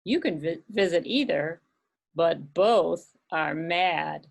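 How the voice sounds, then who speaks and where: A woman voicing a mad, grinning cat who speaks in a slow drawl in a puppet show. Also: fairly low pitch, vocal fry, full character voice. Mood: sly.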